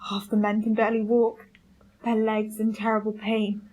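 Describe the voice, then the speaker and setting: A woman playing a starving, resolute male expedition captain in a radio play, reading out a journal in a clipped, solemn, halting way. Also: medium pitch, completely flat delivery, a little mellow, natural voice, poor audio. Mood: grim, weary.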